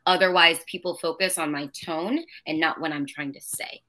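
Passionate, emphatic woman with a bright voice. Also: low-pitched, fairly even intonation, normal speed. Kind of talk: casual conversation.

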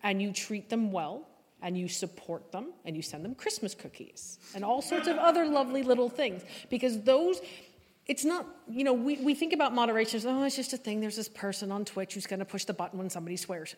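A woman's voice: on the high side, expressive, brisk. A woman speaking as a panel guest, giving advice in an emphatic, articulate way. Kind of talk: advisory panel discussion. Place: large indoor hall at a live panel event. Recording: echoey hall, live event.

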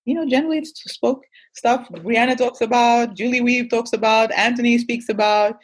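A woman's voice: fairly high pitch, fairly even intonation, brisk, slightly rough. A woman and native Portuguese speaker, a guest coach chatting casually and animatedly with some self-deprecating humour. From Angola. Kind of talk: casual conversation.

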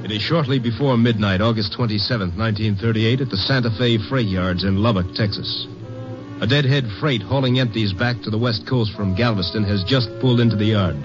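A man narrating a 1950s crime radio drama in a formal, measured, scripted style. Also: fairly low pitch. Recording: dull old radio recording. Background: music.

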